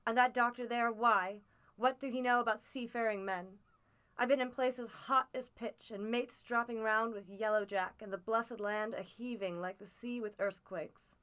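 A woman voicing a sick old sea captain who talks in rough sailor slang, feeble but hearty, in an audiobook. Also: a little high, steady tone, normal speed, fairly bright tone, close to an everyday voice. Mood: ranting. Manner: rough seafaring slang, cursing. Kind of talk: audiobook character voice.

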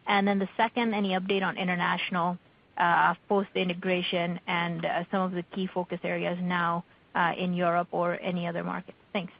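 A woman's voice: lowish voice, little pitch movement, brisk, creaky. A woman speaking as a financial analyst, asking questions on an earnings call. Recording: muffled conference call line.

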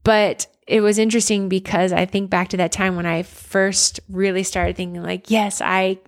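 A woman's voice: medium pitch, little pitch movement, normal speed. A woman in her forties from near Philadelphia, a former basketball player speaking as an interview guest. She talks in an earnest, candid way, full of fillers and restarts. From United States, near Philadelphia. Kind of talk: reflective conversational interview.

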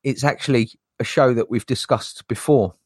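A man's voice: moderate pace, no room sound. Guy hosting a crypto chat show, hammy, laughs a lot, dry close mic. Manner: playful showman, jokey put-on low announcer voice, laughs a lot. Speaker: crypto channel host. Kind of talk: casual crypto talk show.

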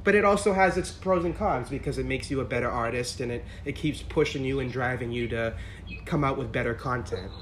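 A man hosting an interview with a higher pitched voice, asking eager, rambling questions. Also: a little fast, fairly bright tone, a little hiss or hum. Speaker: interview host. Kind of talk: casual interview conversation.

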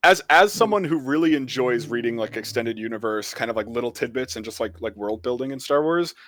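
A man's voice: mid-range voice, expressive, fairly quick, a bit bright, no room sound. A man on a podcast panel chatting casually in a rambling way, saying "like" a lot. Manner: rambling, peppers talk with "like". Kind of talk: casual chat.

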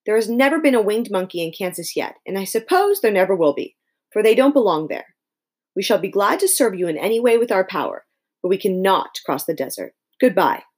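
A woman's voice: mid-range voice, sing-song, a little fast, slightly rough. A woman reading a storybook, voicing a male winged monkey king who is formal, dutiful and firm.

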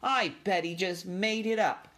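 A man voicing eager little young mouse cousins with squeaky voices in a children's story. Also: very animated, unhurried, fairly bright tone, exaggerated voice. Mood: sulky, doubting.

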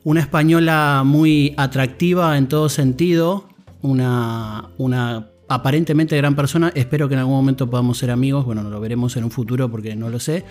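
A man's voice: medium pitch, fairly even intonation, a little fast, a little mellow. A man with an Argentine accent hosting a podcast, talking in a friendly, rambling way with many fillers and restarts. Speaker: podcast host. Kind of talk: casual interview chat.

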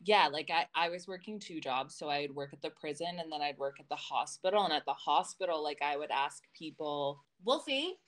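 A woman's voice: sits low, lively intonation, fairly quick, few pauses. A woman co-hosting a podcast in casual chat, telling personal stories in a candid, informal way with some swearing. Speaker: podcast co-host.

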